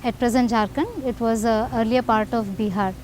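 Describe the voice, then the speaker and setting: Woman, thirties, Indian accent, high voice, doctor, conversational interview, faint background noise. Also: steady tone, moderate pace, darkish tone. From northern India. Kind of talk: personal interview, telling own story.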